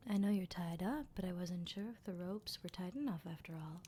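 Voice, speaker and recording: A woman playing a teasing, sardonic female vampire captor in an ASMR roleplay, speaking in an unhurried, breathy half-whisper. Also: fairly low pitch, mellow, a little hiss or hum, lightly acted. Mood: teasing menace. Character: playful, menacing female vampire captor, decades old.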